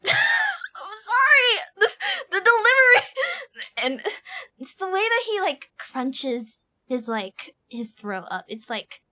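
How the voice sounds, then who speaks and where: Animated, bright-voiced female game streamer. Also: extremely high pitch, slow. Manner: animated, mimics lines with exaggeration. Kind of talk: casual live reaction commentary.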